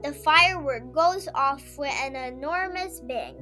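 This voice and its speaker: A woman reading a children's story aloud in an expressive, scripted storytelling manner. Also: sits high, unhurried, soft background music. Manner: expressive read-aloud delivery with character voices. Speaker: storybook reader.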